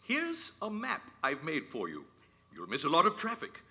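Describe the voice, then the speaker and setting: Kindly old Santa for stage radio drama: bright, wildly varied delivery, long hall reverb. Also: male voice, on the high side, wildly swooping pitch, normal speed, full character voice. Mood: helpful, hearty. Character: kindly old man who is Santa Claus. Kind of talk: 1940s radio play acting on stage. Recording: live theatre, reverberant hall.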